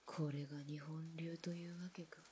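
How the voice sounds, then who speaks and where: A woman's voice: little pitch movement, moderate pace, warm tone, a little hiss or hum, lightly acted. A woman as a hard-edged Russian woman soldier. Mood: defiant. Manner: blunt, challenging, low and clipped. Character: defiant Russian woman ex-officer, hardened. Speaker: former Russian imperial soldier. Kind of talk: hobby radio drama acting.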